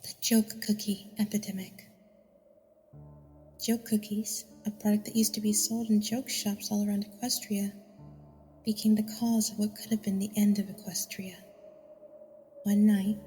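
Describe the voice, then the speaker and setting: A woman's voice: medium pitch, dark tone, lightly acted. A woman voicing a solemn young ghost filly telling a grim tale, in long reverb with music underneath. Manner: solemn, measured storytelling.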